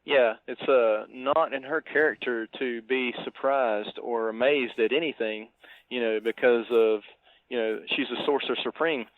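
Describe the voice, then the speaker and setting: A man co-hosting a podcast, giving casual film commentary in a halting, reflective way over a phone-like connection. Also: mid-range voice, dry recording. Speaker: podcast co-host. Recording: thin phone-like band-limited line.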